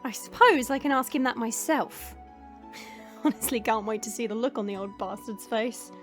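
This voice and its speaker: Woman as a high-voiced, brash teasing vampire girl. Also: normal speed, faint music behind, lightly acted. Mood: cheeky. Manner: sarcastic, playful, casual. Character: brash, teasing female vampire, cheeky and restless. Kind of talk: audio roleplay acting.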